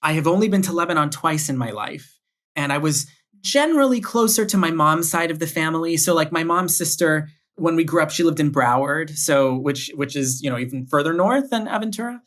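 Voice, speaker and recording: A man's voice: fairly high pitch, animated, fairly quick, fairly bright tone. A young man from Miami, a podcast guest, talking through his background in a thoughtful way and often restarting his sentences. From Miami, United States. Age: young adult. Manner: thoughtful, with frequent restarts and repeated words. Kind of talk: conversational interview.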